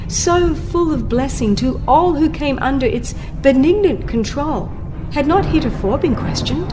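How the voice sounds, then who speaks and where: A woman narrating a religious book as a dramatized audiobook, with solemn, theatrical and deliberate phrasing. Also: high voice. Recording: music under the voice. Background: background music.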